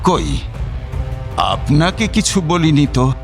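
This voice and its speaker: An actor playing a sly village schoolmaster in an audio drama, unhurried and falsely courteous, veiling threats in politeness. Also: male voice, fairly high pitch, very animated, creaky, soft background music, heavily acted. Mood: feigned innocence.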